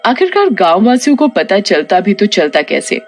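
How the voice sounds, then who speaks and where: A woman's voice: medium pitch, very animated, moderate pace, soft background music. A woman reading a scripted horror story narration for a cartoon tale.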